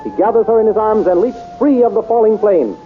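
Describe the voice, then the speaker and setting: A man announcing a 1930s radio adventure serial in a crisp, dramatic manner. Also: sits high, quick pace. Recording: tinny 1930s radio recording with music.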